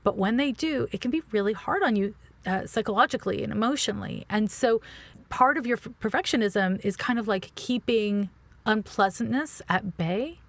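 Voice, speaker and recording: A woman's voice: on the high side, lively intonation, moderate pace, vocal fry, no echo. A woman giving a conversational astrology reading as a podcast guest, speaking frankly and informally with casual swearing. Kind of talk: conversational birth chart reading.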